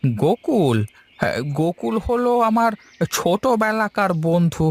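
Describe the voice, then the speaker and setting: A man voicing a gentle, homeless old village man in a cartoon, plaintive and affectionate like an elder. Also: high-pitched, sing-song, unhurried, full character voice. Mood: wistful, resolute. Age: older. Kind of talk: Bengali moral-story cartoon dubbing.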